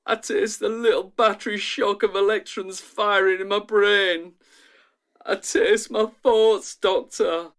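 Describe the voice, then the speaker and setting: A man playing an anxious, overwhelmed test subject in a radio drama, halting and intense. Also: high-pitched, fairly even intonation, a bit bright. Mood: haunted, entranced.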